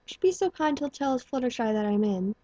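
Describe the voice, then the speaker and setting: Woman reading as an older stallion doctor, cold and protective, breathy dull tone. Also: a little high, expressive, warm tone, no echo, pitched above the performer's own voice. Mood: stern. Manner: measured, cool and stern. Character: older male pony doctor, taciturn and protective.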